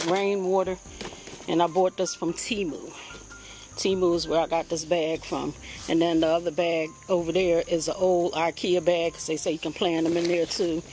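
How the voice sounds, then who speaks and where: A woman's voice: lowish voice, darkish tone, slight background noise, low-quality recording. A woman chatting casually while she films her backyard garden and her indoor plants on a handheld phone, in a rambling, homey way. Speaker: vlogger showing her garden. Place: backyard outdoors, then indoors by a window.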